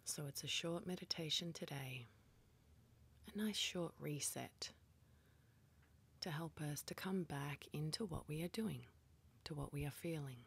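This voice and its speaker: Breathy, hushed woman guiding meditation. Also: low voice. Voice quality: soft, breathy, whispery. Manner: hushed, calm, gently instructing with pauses. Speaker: meditation guide. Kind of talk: guided meditation.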